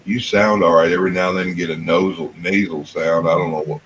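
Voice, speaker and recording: A man with a deep voice chatting casually in a folksy, colloquial way. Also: steady tone, fast, fairly bright tone, slight background noise. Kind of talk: casual chat.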